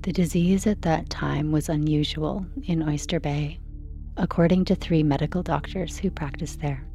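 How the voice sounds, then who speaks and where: A woman reading a scripted sleep story in a slow, soothing narration style. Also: sits low, fairly even intonation, dark tone, soft background music.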